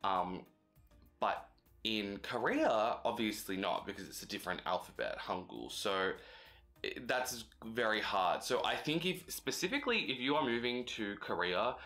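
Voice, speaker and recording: A man's voice: fairly low pitch, bright, forceful tone. A young man, a native English speaker, giving casual, chatty advice in a vlog with frequent fillers. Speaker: vlogger.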